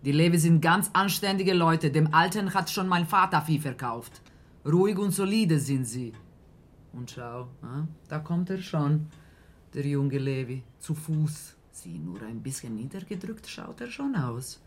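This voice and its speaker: A bossy farmer in a radio drama, with a medium-pitched voice. The talk is scolding and gossipy, slipping into muttered asides, like an employer keeping the help in line. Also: expressive, measured pace. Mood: gossiping, scheming. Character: bossy, chatty small-town farmer, scolding a servant. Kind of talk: German radio play acting.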